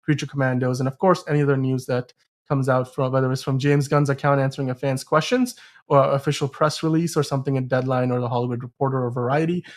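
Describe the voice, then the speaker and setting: Guy doing movie news podcast, medium pitched voice, chatty and kinda rambly, casual. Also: steady tone, fast. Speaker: host of a film news podcast. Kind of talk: casual entertainment news chat.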